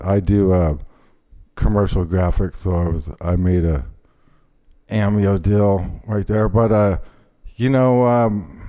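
Mellow, rambling male podcast host. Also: fairly low pitch. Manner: rambling, with many ums and restarts. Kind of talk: casual chat and ad read.

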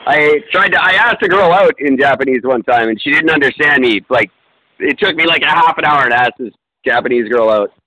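A man's voice: mid-range voice, expressive, a little fast, pushed and bright, dull audio, a little hiss or hum. A man in casual podcast chat, talking in a rambling, self-deprecating way with many fillers and restarts. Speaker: podcast co-host.

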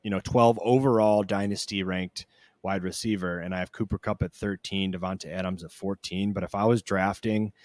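A man with a lowish voice talks football in a blunt, profane way, quick to throw in a joke. The sound is dry and close to the mic. His delivery is casual and offhand. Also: fairly even intonation, dry recording. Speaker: podcast co-host. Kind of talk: casual fantasy football chat.

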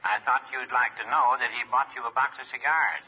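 An actor playing a mild, polite small-town shopkeeper with a raspy, deadpan voice over a telephone in a 1950s radio comedy. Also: male voice, medium pitch, brisk. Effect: telephone filter. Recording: thin phone line on old radio.

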